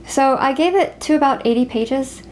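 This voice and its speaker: A woman co-hosting a casual book chat, talking in an expressive, confiding way. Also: high voice, normal speed, poor audio. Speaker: co-host of a book review show.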